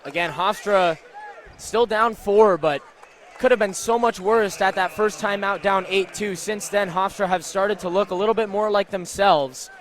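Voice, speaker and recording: A man's voice: high voice, normal speed, a bit bright. A man giving live basketball analysis as a radio color commentator at courtside.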